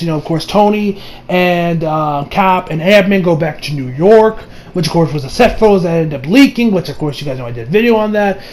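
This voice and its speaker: A young adult man giving a casual, rambling movie review with excitable delivery and frequent swearing. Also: high-pitched, a little hiss or hum, poor audio. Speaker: video reviewer. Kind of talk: casual movie review chat over gameplay.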